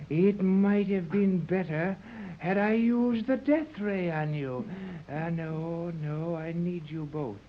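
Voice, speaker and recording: A slow, measured villain of 1930s radio drama, imperious and menacing. He speaks formally and condescendingly to his captives. The old recording is dull and muffled. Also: sits high. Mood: gloating menace. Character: imperious villain commander of island with ray gun. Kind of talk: 1930s radio serial acting.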